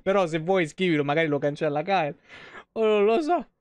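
A man's voice: fairly high pitch, fairly quick, a little mellow, smooth, no room sound. A man in his thirties giving casual, joking, foul-mouthed live commentary on a game. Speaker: game streamer. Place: small indoor streaming room at home. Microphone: close streaming microphone.

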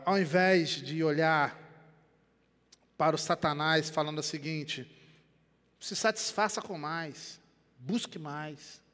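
An older man from Rio de Janeiro preaching a sermon in Brazilian Portuguese on a headset mic in a church, animated, dropping to quiet and rising again. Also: sits high. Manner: animated preaching with wide gestures, swings between quiet and loud. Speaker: pastor. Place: church sanctuary. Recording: long church hall reverb.

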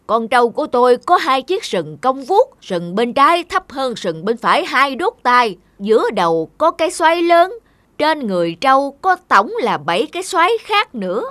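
A man's voice: very high-pitched, very animated, normal speed, sharp tone, no echo, heavily acted. A man playing a sly, blustering middle-aged buffalo thief in a cartoon folk tale. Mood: brazen, indignant. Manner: brazen, boastful, argumentative.

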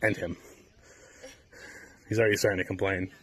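A man's voice: low voice, flat delivery, moderate pace, fairly bright tone. A man in his thirties chatting and joking while hiking a forest trail, filming on a handheld camera. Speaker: vlogger. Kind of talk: casual family hike chat.

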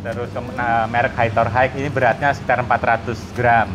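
A man in his thirties, sitting on a porch floor and explaining his hiking gear step by step in a relaxed, casual way with many fillers, recorded on a lapel mic. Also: medium pitch, moderate pace, highs cut off. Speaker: hiking community host showing gear. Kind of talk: casual show-and-tell explanation. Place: outdoor porch by a house, seated on the floor. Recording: noisy street ambience with passing cars.

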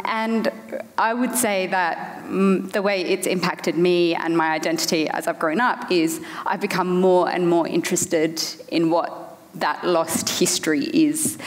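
Panel guest, woman in her thirties, headset mic on a stage, measured and reflective, lowish voice. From Afghanistan. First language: Farsi. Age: 30s. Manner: thoughtful, many "um" pauses. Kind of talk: panel discussion. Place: large hall on stage. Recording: long hall reverb.

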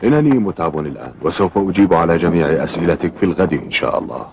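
A man playing a kindly, patient middle-aged fisherman in a cartoon, speaking formal Arabic with measured, clear articulation. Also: low voice, animated, darkish tone, a little hiss or hum. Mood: calm, kindly.

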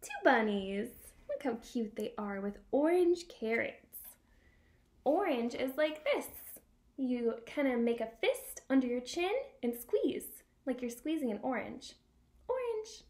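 High-pitched young woman, sing-song children's reader. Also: big pitch swings, unhurried, a little mellow. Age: young adult. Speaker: children's read-aloud teacher. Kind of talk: picture-book read-aloud for young children with sign lessons. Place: small room at home by a window.

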